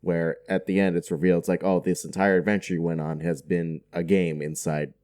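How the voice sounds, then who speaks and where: Male podcast guest, low-pitched voice, informal, chatty delivery with a joking streak. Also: flat delivery, a little fast, darkish tone. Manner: chatty, jokey, often chuckling. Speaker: podcast guest working in film. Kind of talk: casual podcast discussion.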